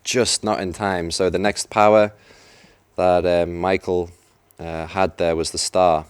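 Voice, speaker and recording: A man's voice: deep voice, flat delivery, unhurried, smooth, dry recording. A man commentating a live racing video game match, chatty and explaining tactics. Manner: chatty, explanatory, some restarts. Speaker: esports commentator. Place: event venue.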